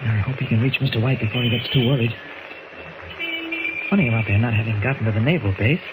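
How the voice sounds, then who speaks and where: A man's voice: mid-range voice, fairly even intonation, fast, lightly acted. An actor playing a purposeful male newspaper reporter, confiding and authoritative, in 1940s radio acting. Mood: brisk, purposeful. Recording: noisy 1940s radio recording.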